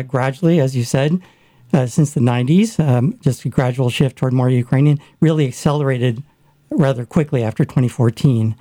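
A man chatting in a casual discussion, his speech halting and full of restarts. His voice is dark and mellow, with a middle pitch. The recording is dry and close. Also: dry recording. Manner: halting, many fillers and restarts. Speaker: guest discussing Ukraine.

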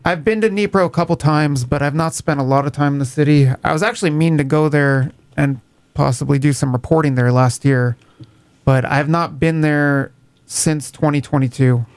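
A man, a reporter, explaining things in a measured way during a casual discussion. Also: a little high, no echo.

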